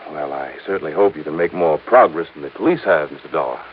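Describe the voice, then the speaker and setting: A man's voice: rumbling low, expressive, fast talker, phone-quality sound. An actor playing a deferential, apologetic young male assistant in a 1950s radio drama, polite, hesitant and prone to stammering. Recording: hissy, band-limited old radio recording.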